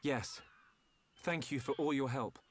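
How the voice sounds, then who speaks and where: A man's voice: mid-range voice, unhurried, slight background noise, close to an everyday voice. An actor playing an earnest, thoughtful young male hero.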